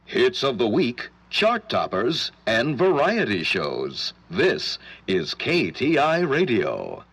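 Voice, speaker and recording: A man's voice: mid-range voice, wildly swooping pitch, slow, fairly bright tone, slightly rough, full character voice. A man reading 1940s radio station promos in emphatic, punchy phrases.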